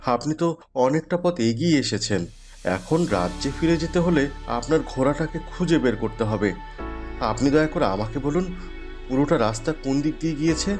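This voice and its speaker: A man voicing a devoted, good-hearted village woodcutter in an expressive fairy tale cartoon style. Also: mid-range voice, normal speed, faint music behind, natural voice. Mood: puzzled, helpful. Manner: earnest, polite, expressive.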